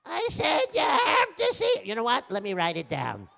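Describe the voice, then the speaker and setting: A man voicing a concerned, patient wife in a told story, with a gentle, worried manner. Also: extremely high pitch, wildly swooping pitch, moderate pace, creaky, big-room sound, pitched above the performer's own voice, heavily acted.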